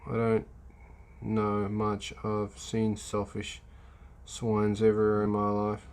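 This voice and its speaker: A man's voice: on the low side, monotone, slow pace, a little mellow. A man hosting a casual livestream, rambling and answering chat comments, with many fillers and restarts. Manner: reads and replies to chat comments.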